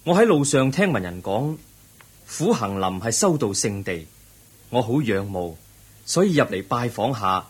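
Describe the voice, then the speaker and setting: A man acts a young seeker in a radio drama, polite, earnest and full of questions. His is slightly rough-edged and husky, with very lively intonation. He stays courteous and curious in his delivery. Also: mid-range voice, normal speed. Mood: respectful, curious. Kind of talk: Cantonese radio drama acting.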